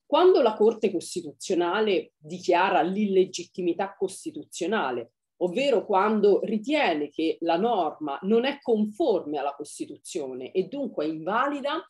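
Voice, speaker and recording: A woman in her forties delivers an academic talk over a video call from a home study. Her voice sits somewhat low but swings widely in pitch, and she moves deliberately, filling pauses as she thinks. It is a plain webcam recording. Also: darkish tone. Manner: deliberate, with frequent hesitation fillers. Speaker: law lecturer.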